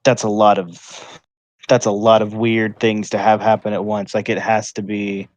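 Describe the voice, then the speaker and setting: A man talking casually with friends on a livestream, halting and full of fillers. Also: on the low side, flat delivery, dry recording. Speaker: livestream gamer. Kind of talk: casual chat.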